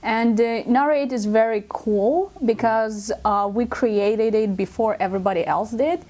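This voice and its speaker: A woman's voice: a little high, a little slow, darkish tone. A woman from Russia with a Russian accent, talking as a company executive in a promotional interview, often repeating words and leaning on filler phrases. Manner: hesitant phrasing with frequent "you know" and word repetitions. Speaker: company business development executive being interviewed.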